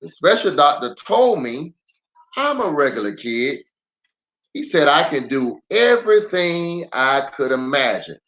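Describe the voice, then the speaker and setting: Slow, animated male storyteller in echoey room. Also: fairly high pitch. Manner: dramatic, deliberate pauses. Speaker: storyteller, pastor. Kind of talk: expressive children's story read-aloud. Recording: roomy echo, faint music.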